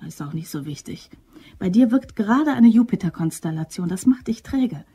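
Husky, very dark-voiced female schemer. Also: medium pitch, lively intonation, normal speed. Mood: commanding. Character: cool, bossy office woman masterminding a robbery. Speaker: actor. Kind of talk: German crime radio play acting.

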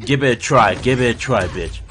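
A man's voice: mid-range voice, fairly quick, soft background music. A young man hosting a reaction video, exclaiming and laughing as he watches, recorded on a webcam in a small room. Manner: excitable, exclaiming and laughing at the screen. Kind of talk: live reaction commentary. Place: small indoor room with curtains.

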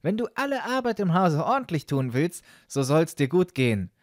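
A man voicing a kindly old woman of fairytale magic, coaxing and gently instructing in a storybook reading. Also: fairly high pitch, big pitch swings, moderate pace, no echo, clean studio sound, voice pushed up. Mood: coaxing, reassuring.

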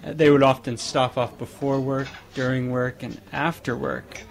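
Male podcast host, inquisitive questions, hesitant fillers, middle pitch. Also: steady tone, moderate pace, creaky. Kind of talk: interview questions.

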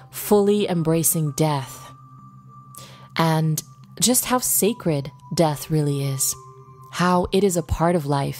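A woman leading a guided meditation in an unhurried way, pausing between short phrases. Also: low-pitched, darkish tone.

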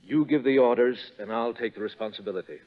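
An actor playing an earnest, concerned army major speaking in a measured way in a 1930s radio drama. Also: male voice, mid-range voice, close to an everyday voice. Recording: muffled 1930s radio broadcast.

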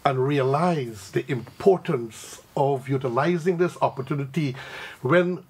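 A man's voice: mid-range voice, unhurried, darkish tone, husky. An older Guyanese man, a religious community representative, speaking in florid, effusive terms on a TV studio panel.

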